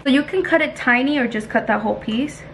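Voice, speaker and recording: High-voiced young woman, noisy kitchen vlog. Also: quick pace. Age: young adult. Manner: informal, chatty, occasionally crude. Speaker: home baking vlogger. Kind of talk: casual instructional chat to viewers. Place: small home kitchen, indoors. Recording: clearly noisy home kitchen audio with clicks.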